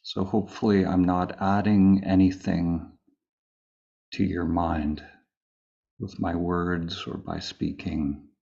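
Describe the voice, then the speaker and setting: Very slow, low-voiced man guiding meditation. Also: vocal fry, muffled recording. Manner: calm, unhurried, with long pauses between phrases. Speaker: meditation teacher. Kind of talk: slow guided meditation, spoken live.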